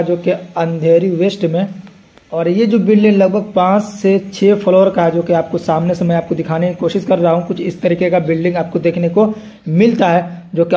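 Guy doing a rambling tour voice-over, high but dark-toned, pretty monotone. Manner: rambling, repeats filler phrases, self-corrects. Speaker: vlogger. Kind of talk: tour-guide narration over footage.

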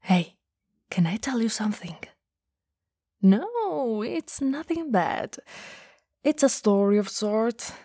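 A woman acting a friend's warm, teasing goth older sister in an intimate, soft-spoken ASMR roleplay, sometimes slipping toward a whisper. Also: fairly high pitch, very animated, slow, pauses often, breathy edge, dry recording, studio quality, heavily acted. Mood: fond, teasing. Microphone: close studio microphone.

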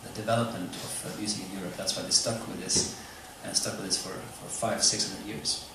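Guy explaining music stuff, kinda halting, super echoey room, recorded far off. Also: on the low side, steady tone, background noise. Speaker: musician giving a lecture. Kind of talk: informal lecture to an audience. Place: club room with seated audience, indoors. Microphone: stage mic through speakers, recorded from afar.

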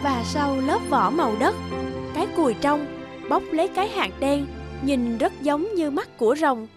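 A woman narrating a fairy tale for an animated story in a gentle, measured way. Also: sits high. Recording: music bed behind voice.

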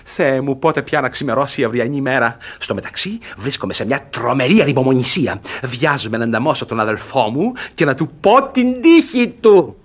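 Actor as suspicious middle-aged man, classic stage comedy, rough voice, animated, blustering, fussy. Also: medium pitch, hardly any pauses, lightly acted. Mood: impatient glee. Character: suspicious, gloating, jealous middle-aged man, strict guardian.

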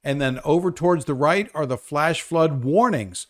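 An older man presenting an unscripted live weather update, explaining as he goes with hesitant pauses to think. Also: a little high.

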